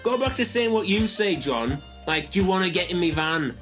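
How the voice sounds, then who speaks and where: A high-voiced, indignant bloke played by a man rants and swears his way through a comedy radio play. Music plays clearly behind his aggrieved voice. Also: lively intonation, moderate pace, a bit bright. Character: ranting, indignant, foul-mouthed bloke. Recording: music under the voice.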